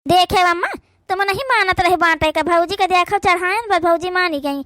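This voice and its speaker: A woman's voice: very high voice, moderate pace, pushed and bright, no room sound, studio quality. A woman with an Awadhi accent acting out a rural family drama for a cartoon in colloquial, complaining village speech. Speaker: cartoon voice performer.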